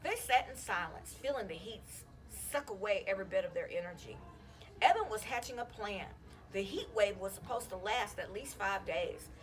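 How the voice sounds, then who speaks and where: Woman reading a children's story with lively intonation. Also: mid-range voice, normal speed. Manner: animated, acting out the children's dialogue. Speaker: school counselor reading a children's book aloud. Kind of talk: expressive storybook read-aloud.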